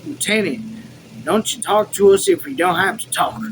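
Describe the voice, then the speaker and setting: A man's voice: high voice, very animated, normal speed, background noise, voice pushed up. A man voicing a stern, practical army officer from a novel, in a scolding manner.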